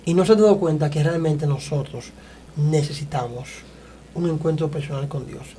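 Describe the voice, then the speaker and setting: Mellow-voiced male evangelist host. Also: a little high, moderate pace, a little hiss or hum. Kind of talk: church interview hosting.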